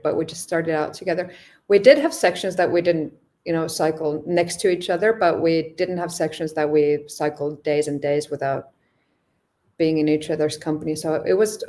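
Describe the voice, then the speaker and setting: A woman's voice: fairly low pitch, a little mellow. A young woman from Finland, a podcast guest, talking casually and thoughtfully with many restarts. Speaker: podcast guest, long-distance cyclist. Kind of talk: casual interview chat.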